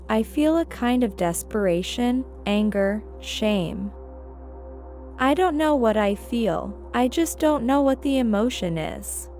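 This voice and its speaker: Slow-paced woman reading over music. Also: fairly high pitch, a little mellow. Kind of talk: slow, scripted reading of quotations. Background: background music.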